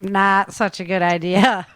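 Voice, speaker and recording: A woman host in casual chat, with an animated, self-deprecating, joking way of talking. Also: medium pitch, slow pace, dry recording.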